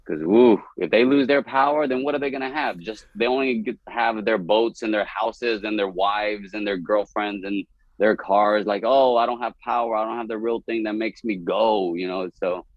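A man's voice: on the low side, moderate pace, dry recording. A young man from East Dallas, Texas, talking candidly as a comedian guest on a podcast, rambling and laughing often. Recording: dull, dry podcast audio.

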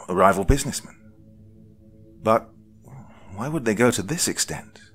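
Man as a low-voiced, embittered young hero. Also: animated, normal speed, fairly bright tone, slight background noise. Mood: agitated.

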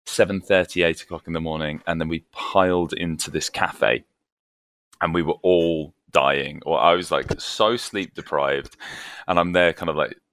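British man, band vocalist, lowish pitch, close dry sound, casual storyteller, animated quoted dialogue. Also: moderate pace, dry recording. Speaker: podcast guest, metalcore band vocalist.

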